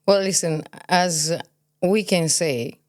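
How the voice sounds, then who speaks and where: A woman of about forty with a fairly low voice speaks as a guest in a conversational interview. She is candid and firmly opinionated. She often throws out a question and answers it emphatically herself. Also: takes it slowly, darkish tone. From born in Kazakhstan, grew up in Belgium. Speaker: podcast guest, cosmetologist.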